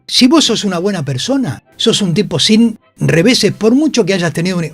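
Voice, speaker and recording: Emphatic Argentine man, higher-pitched voice. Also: expressive, normal speed, breathy edge, dry recording, soft background music. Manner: emphatic, repeats phrases for stress, swears freely. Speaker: interview guest, actor. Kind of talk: animated storytelling in a radio interview. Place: radio studio.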